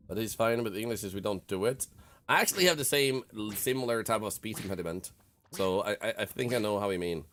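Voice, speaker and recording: Lively-voiced Swedish man streaming. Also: lowish voice, slight background noise. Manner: candid, reflective, filler-heavy storytelling. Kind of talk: casual gaming stream chat.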